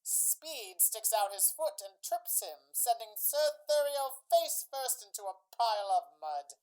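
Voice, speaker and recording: A woman's voice: fairly high pitch, lively intonation, measured pace, darkish tone, smooth, poor audio. A woman playing a showy radio announcer, narrating a scripted drama in a theatrical, presentational old-time style. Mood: dramatic storytelling.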